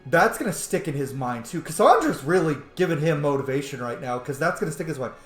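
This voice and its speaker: Male game streamer, highish voice, very animated, enthusiastic commentary, echoey room, music behind. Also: fast talker. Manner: enthusiastic, exclaiming. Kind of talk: excited running commentary on a game.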